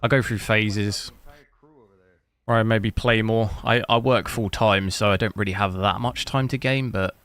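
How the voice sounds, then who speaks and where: A man streaming a game, chatting casually with rambling, halting restarts. His voice is bright and forceful, set a little low. The sound is dry and close. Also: steady tone, no room sound. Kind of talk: casual live stream chat.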